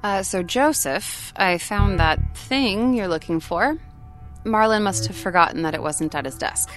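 An actress in an audio drama playing a practical, skeptical office colleague with a dry, casual manner. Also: female voice, medium pitch, a little slow, soft background music, lightly acted. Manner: matter-of-fact, dry, casual. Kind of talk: naturalistic audio drama acting.

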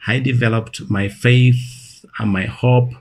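A man in his thirties with a Ugandan accent, speaking as an interview guest in an earnest, measured way and repeating phrases for emphasis. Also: medium pitch, steady tone, slight background noise. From northern Uganda. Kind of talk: recalling his life in an interview.

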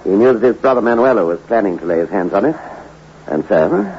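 An actor playing a grave, measured mission hospital man in a sombre 1960s radio drama. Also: lowish voice, sing-song, slight background noise. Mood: grave, calm. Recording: dull old radio recording.

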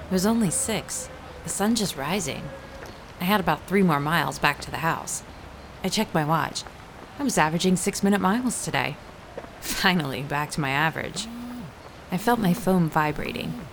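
A woman's voice: fairly low pitch, lively intonation, normal speed, lightly acted. A woman playing an ordinary middle-aged mother and runner in an audio drama, understated and matter-of-fact. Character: ordinary working mother, capable runner narrating her own story. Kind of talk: first-person audio drama narration and dialogue. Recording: noisy outdoor soundscape with vehicles and sirens.

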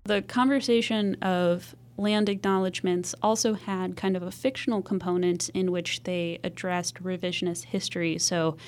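A woman's voice: lowish voice, level tone, moderate pace, few pauses, slight background noise. A young woman from the United States hosting and producing a university podcast, reading credits and chatting with a co-host. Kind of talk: casual chat and scripted outro.

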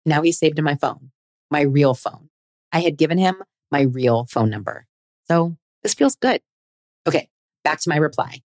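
A woman's voice: low voice, expressive, normal speed, a little mellow, dry recording, clean studio sound. A woman in her forties hosting a podcast, telling her own dating story in a candid, chatty, self-deprecating way. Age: 40s. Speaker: podcast host. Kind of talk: scripted personal narration.

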